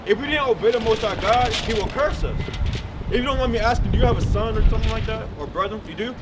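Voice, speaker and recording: Guy street preaching, keeps quizzing folks, fairly high voice, sounds far off w/ loud traffic around. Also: fast talker. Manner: emphatic, keeps asking the listener questions. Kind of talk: outdoor street preaching with call and response. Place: city street outdoors. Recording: distant mic with loud traffic noise.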